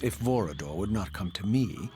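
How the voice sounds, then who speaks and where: A man plays a brooding undead wraith in a video game, proud and eloquent. The voice is low and grave, with formal theatrical phrasing over clearly audible music. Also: animated, moderate pace, natural voice. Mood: grave, resolute. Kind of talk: dramatic scripted game narration. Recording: music behind the voice.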